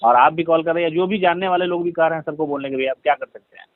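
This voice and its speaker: Man, Bihari accent, slightly high pitch, deferential, pleading, apologetic explaining, tinny phone-call audio. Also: racing, dry recording. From Bihar, India. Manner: deferential, pleading, repeatedly saying brother. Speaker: caller being confronted over a social media comment.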